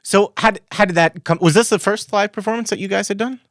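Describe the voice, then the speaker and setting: A man's voice: sits high, fast, a bit bright, no echo, clean studio sound. A man hosting a casual interview podcast, tentatively rephrasing his questions and thoughts as he goes.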